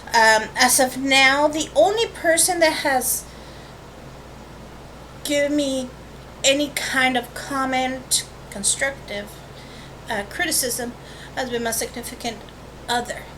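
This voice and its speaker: A woman with a notably high voice chats informally as the host of an art vlog. She rambles through asides and corrects herself as she goes. The sound comes from a webcam microphone, with faint noise underneath. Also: slow pace, long pauses, a bit bright, poor audio. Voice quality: high-pitched voice. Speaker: host of a watercolor painting channel. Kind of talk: casual, chatty vlog intro to an art tutorial. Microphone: built-in webcam microphone.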